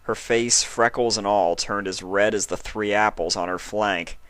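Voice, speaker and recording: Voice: deep voice, steady tone, unhurried, a bit bright, clean tone, dry recording. Storybook narrator, dry close sound. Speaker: narrator, fan fiction reader.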